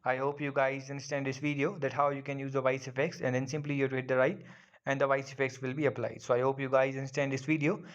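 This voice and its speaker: A man's voice: mid-range voice, flat delivery, fast. A man narrating a step-by-step app tutorial as its host, with repetitive, instructional phrasing. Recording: dull lo-fi voice-over.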